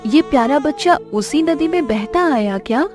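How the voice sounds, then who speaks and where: A woman's voice: high-pitched, lively intonation, normal speed, over music. A woman voicing a fretful but loving fisherman's wife in an exclamatory Hindi cartoon style. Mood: startled.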